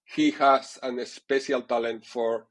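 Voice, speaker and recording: A middle-aged man from Catalonia with a Catalan Spanish accent, speaking English as a second language and answering interview questions haltingly, with many fillers and repeated words. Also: medium pitch, steady tone. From Catalonia, Spain. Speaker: TV sports director, guest.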